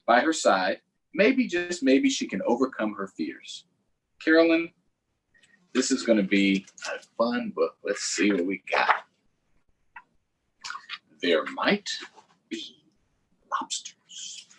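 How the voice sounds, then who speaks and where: A man's voice: mid-range voice, very animated, takes it slowly, long pauses, a bit bright, slightly rough, rough recording. A man hosting a children's story time, reading aloud in a playful, sing-song way with chatty asides to viewers.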